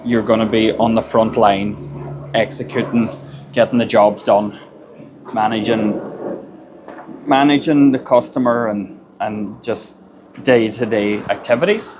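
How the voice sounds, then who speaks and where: A man's voice: fairly low pitch, fairly even intonation, a little slow, pauses often, noisy background. An Irish man, a construction business owner, gives plain-spoken advice in a casual monologue and often repeats words as he gathers his thoughts. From Ireland.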